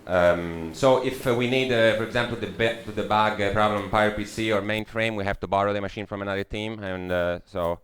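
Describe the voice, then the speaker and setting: A man's voice: fairly low pitch, fairly even intonation, a little fast, few pauses, fairly bright tone, clean tone. A young Italian man with an Italian accent giving a technical conference talk, hesitant, with many fillers and restarts. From Italy. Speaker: conference speaker. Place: lecture room.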